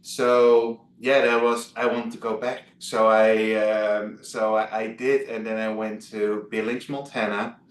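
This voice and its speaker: A man's voice: fairly low pitch, little pitch movement, a little slow, hardly any pauses, echoey room. A man from the Netherlands with a Dutch accent, a guest chatting over a video call, hesitant with many fillers and restarts. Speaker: guest, travel blogger.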